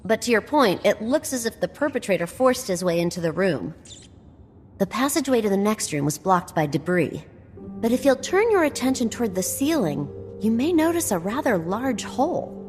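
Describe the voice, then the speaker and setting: A woman voicing a wry, bookish explorer-scholar in a video game, explaining things with dry dark humour. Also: medium pitch, natural voice. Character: wry, nerdy female explorer-scholar, quick with dark jokes. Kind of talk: scripted video game dialogue. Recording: long hall reverb, game music behind. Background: game music.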